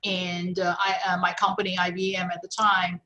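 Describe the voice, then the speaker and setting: A middle-aged woman whose first language is Chinese answers an interviewer's question. She works through her thoughts slowly, with plenty of fillers and self-corrections. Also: lowish voice, level tone, sharp tone. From China. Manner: thoughtful, many fillers and restarts. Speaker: guest. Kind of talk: interview, personal story.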